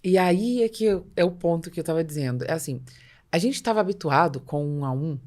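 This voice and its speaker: A woman's voice: on the low side, a little fast, a little mellow. A Brazilian woman hosting a business podcast, explaining points with examples and rhetorical questions. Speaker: podcast host.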